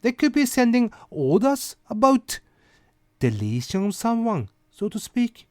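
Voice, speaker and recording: A man's voice: very high voice, big pitch swings, measured pace, no echo, studio quality. A man hosting an informal commentary monologue, speaking conversationally with rhetorical asides to the listener. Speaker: host of a weekly rant video show.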